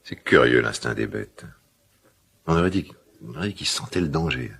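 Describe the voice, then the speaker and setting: Very deep gravelly middle-aged father. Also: animated, fairly bright tone, full character voice. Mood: fond, mildly grumbling. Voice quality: gravelly, husky. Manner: hesitant, musing, halting phrases. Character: gruff, tender, old-fashioned father of a teenager. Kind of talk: naturalistic French radio drama acting.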